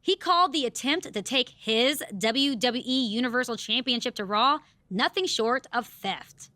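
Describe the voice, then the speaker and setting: A woman playing a poised, briskly informative wrestling broadcast host in a video game, delivering scripted preview commentary. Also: fairly high pitch, sharp tone, dry recording. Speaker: sports show presenter.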